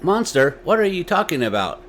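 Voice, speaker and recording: A man's voice: fairly high pitch, very animated, fairly quick, a bit bright, pitched above the performer's own voice. A woodland hunter and father played by a male storyteller, worried and impatient. His voice lifts as he fires off blunt questions, with some background noise. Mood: incredulous, impatient. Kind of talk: dramatized storytelling.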